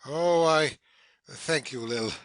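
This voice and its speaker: Male actor for pompous, blustering middle-aged man in stage satire; bright, forceful, higher-pitched voice. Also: slow, no echo. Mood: concerned, probing. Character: blustering, self-important family friend, middle-aged man. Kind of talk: acted stage satire in audio.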